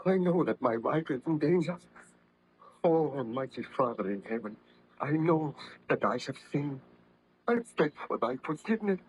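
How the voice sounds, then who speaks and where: A man's voice: fairly high pitch, lively intonation, normal speed, slight background noise, natural voice. An actor playing an anguished, guilt-ridden nobleman scientist in an old-time radio horror drama, speaking in formal, fervent melodrama. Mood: grieving, penitent. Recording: vintage radio drama recording, slightly muffled.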